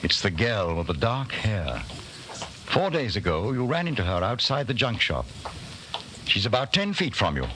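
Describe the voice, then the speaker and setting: Deep, grave radio drama narrator. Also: male voice, sing-song, moderate pace. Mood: measured, suspenseful. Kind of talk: 1940s radio drama narration in second person. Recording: noisy vintage 1940s radio broadcast.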